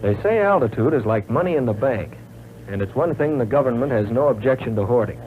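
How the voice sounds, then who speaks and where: An actor playing an earnest young American military pilot, recounting his flight training in measured, folksy 1940s training film narration. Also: mid-range voice, expressive, lightly acted. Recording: tinny, noisy old film soundtrack.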